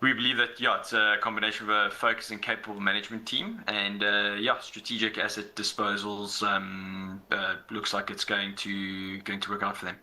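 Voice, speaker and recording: A man as an investment analyst guest in a business TV interview, speaking in a measured, analytical way. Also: fairly low pitch, flat delivery, bright, forceful tone, low-quality recording.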